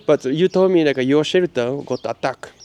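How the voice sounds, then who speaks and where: A man's voice: a little high, measured pace, dark tone, slight background noise. A man from Japan, narrating a handheld travel vlog and chatting upbeat with people in simple Spanish and English. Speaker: travel vlogger. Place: outdoors at a migrant shelter by railway tracks.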